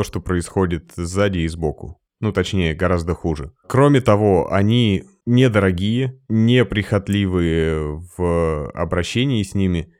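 A man, a sound engineer, explaining microphones in a relaxed podcast conversation, practical and explanatory, with lively emphasis. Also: low-pitched, no echo, clean studio sound.

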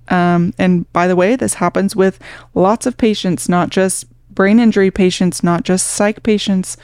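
A woman's voice: mid-range voice, darkish tone, clean tone, dry recording, clean studio sound. A woman hosting a podcast in a casual, frank, conversational way, sometimes swearing as a nurse talking shop.

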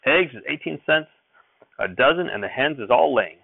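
Crotchety old country grandfather played by a male reader, storybook character, scolding, raised pitch, tinny band-limited audio. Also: racing, creaky, dry recording. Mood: snappish. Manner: rustic old-time country speech, scolding. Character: crotchety, hot-tempered old farmer grandfather.

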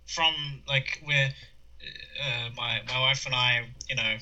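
A man's voice: medium pitch, fairly even intonation, takes it slowly, bright, forceful tone, muffled recording. A man in his thirties from New Zealand with a New Zealand accent, chatting casually with lots of fillers and restarts. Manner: rambling with many fillers and restarts, laughs easily. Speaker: guest. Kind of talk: casual conversation.

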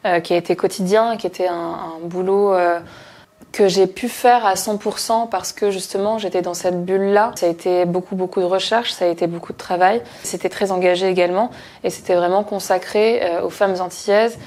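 A woman in her thirties, a photographer speaking in a reflective, measured way. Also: fairly low pitch, fairly even intonation, a little mellow. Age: 30s. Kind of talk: documentary interview testimony.

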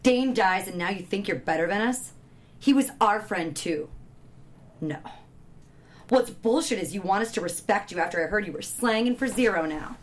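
A woman's voice: mid-range voice, animated, normal speed, natural voice. A woman playing a cocky, hostile teenage surfer boy at a table read, with taunting, slangy delivery. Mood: hostile, accusing.

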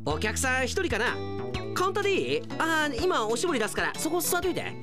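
A man acting in a radio drama as a gruff, friendly izakaya owner with rough, colloquial speech and an encouraging, easygoing manner. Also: extremely high pitch, wildly swooping pitch, quick pace, over music, voice pushed up, full character voice. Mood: casual, puzzled.

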